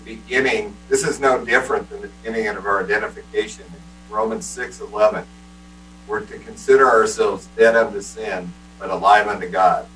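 A man's voice: lowish voice, steady tone, a little fast, fairly bright tone, far from the mic, slight background noise, poor audio. A man in a group Bible discussion, explaining firmly and repeating key phrases. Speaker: Bible study participant.

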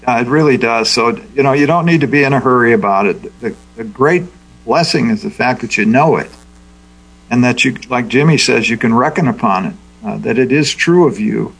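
Husky man leading Bible discussion. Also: medium pitch, a bit bright, rough recording. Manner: thoughtful, unhurried teaching. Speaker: Bible study leader.

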